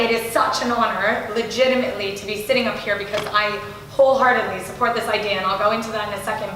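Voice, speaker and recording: A slightly hoarse woman speaking from a foundation at a live event, talking enthusiastically to a room and restarting phrases as she goes. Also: fairly high pitch, sharp tone. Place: large room, audience present. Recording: very echoey room, faint noise.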